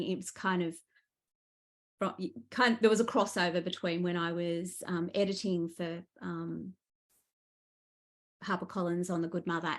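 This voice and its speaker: A woman with an Australian accent speaking as a guest author in a casual interview, animated and enthusiastic as she tells her stories. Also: lowish voice.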